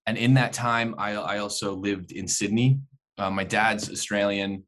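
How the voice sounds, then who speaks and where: Low-voiced Canadian man, chatting casually. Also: steady tone, moderate pace, a bit bright. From Alberta, Canada. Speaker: interview guest, actor. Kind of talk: casual podcast chat.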